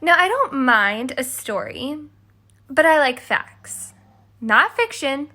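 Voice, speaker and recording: Lady doing a snooty fed up stepmother for a kids story, slow and dramatic, bright voice. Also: sits high, big pitch swings, exaggerated voice. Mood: exasperated. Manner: haughty, dramatic, emphatic. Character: exasperated, self-justifying stepmother telling her side. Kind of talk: scripted children's storybook read-aloud.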